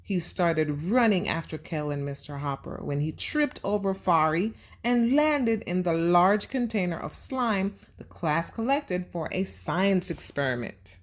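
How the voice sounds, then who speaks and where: Slow, animated woman reading to children. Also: lowish voice. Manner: expressive, addressing children directly with questions and praise. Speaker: children's read-aloud host and teacher. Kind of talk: storybook read-aloud and simple lesson for young children.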